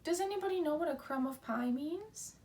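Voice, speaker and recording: A woman's voice: high-pitched, animated, brisk, a little mellow, far from the mic. A woman teacher leading an interactive read-aloud for children, explaining simply and asking questions.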